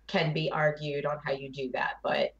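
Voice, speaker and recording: Slightly muffled lo-fi audio of a woman chatting as a panelist on a gaming show. Her voice is a little low for a woman, and she talks informally, acting out her own reactions. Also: flat delivery, normal speed. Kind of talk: casual gaming chat. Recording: dull lo-fi voice chat audio.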